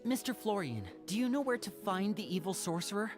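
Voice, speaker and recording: A woman's voice: mid-range voice, animated, brisk, natural voice. An actress playing a polite, decisive young woman archer mage in a video game. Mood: resolute. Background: game music.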